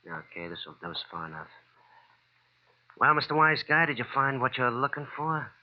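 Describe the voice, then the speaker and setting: An actor playing a menacing, short-tempered little crook in a radio crime drama, with gruff American tough-guy slang. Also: medium pitch, big pitch swings, moderate pace, noisy background, close to an everyday voice. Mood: threatening, sneering. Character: dirty little long-haired male thug, menacing and short-tempered. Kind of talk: 1940s radio crime acting. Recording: muffled vintage radio recording.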